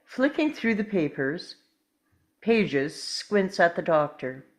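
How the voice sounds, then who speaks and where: A woman's voice: on the low side, very animated, slow pace. A woman narrating screen directions from a script in the present tense at a table read. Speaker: stage directions reader.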